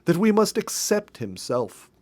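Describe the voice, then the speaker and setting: A man's voice: sits high, big pitch swings, a little slow, a little mellow, pitched above the performer's own voice. A man voicing a determined woman in a storybook who reads an essay aloud emphatically. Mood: stubborn, louder. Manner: emphatic, declaiming over interruptions. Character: determined woman reading an essay aloud, stubbornly raising her voice.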